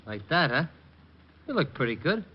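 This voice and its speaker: A man's voice: on the high side, big pitch swings, moderate pace, slight background noise. An actor playing an easygoing male bartender in an old radio detective drama. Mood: friendly, teasing. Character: easygoing male bartender tending a hungover regular. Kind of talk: 1940s-50s radio drama acting. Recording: dull old radio recording.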